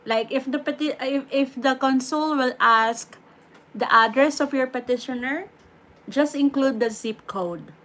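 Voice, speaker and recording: A woman with a Filipino accent hosting a casual livestream, chatty and relaxed, giving advice and slipping in Tagalog words. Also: high-pitched, measured pace. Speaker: livestream host.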